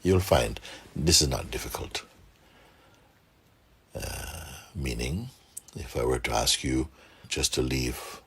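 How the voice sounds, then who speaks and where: Very deep, husky man guiding meditation slowly. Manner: unhurried, gentle pauses, calm instruction. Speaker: meditation guide. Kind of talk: guided meditation, speaking softly to a group. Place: room with a seated group, doors closed.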